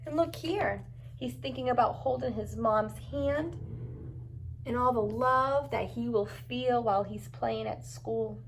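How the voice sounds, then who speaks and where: A woman's voice: a little high, measured pace, slight background noise, low-quality recording. A woman reading a storybook aloud to young children like a preschool teacher, adding encouraging asides and simple questions.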